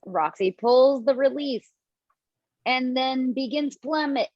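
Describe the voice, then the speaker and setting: A woman reads stage directions aloud from home over a dull, low-fi mic. Her voice sits fairly high and sounds close and dry. She moves through the script briskly. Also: dry recording. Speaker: script reader. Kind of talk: table read of stage directions and a role. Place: home, video call.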